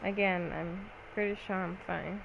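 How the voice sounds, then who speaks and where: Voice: mid-range voice, fairly even intonation, a little slow, vocal fry, lo-fi sound, background noise. A gaming streamer chatting casually during gameplay.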